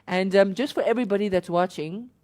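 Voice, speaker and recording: A South African woman, Afrikaans by birth, hosting a company interview in a halting, giggly way. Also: medium pitch, darkish tone. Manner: giggly, halting, lots of ums and restarts. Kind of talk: casual corporate interview.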